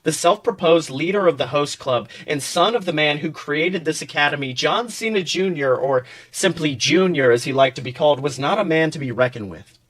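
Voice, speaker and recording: Male podcast narrator, somewhat high pitch, theatrical second-person storytelling. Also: expressive, moderate pace, fairly bright tone. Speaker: podcast host reading fan fiction.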